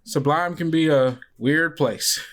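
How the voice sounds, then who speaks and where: A man's voice: on the high side, takes it slowly, fairly bright tone. A man voicing a folksy woman bus driver who is kindly but blunt. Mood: friendly, blunt. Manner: folksy, plain-spoken.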